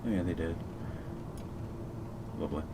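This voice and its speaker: A man from Fiji giving casual, low-key live commentary as a flight simulator streamer, muttering through procedures as he goes. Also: steady tone, normal speed, vocal fry, background noise.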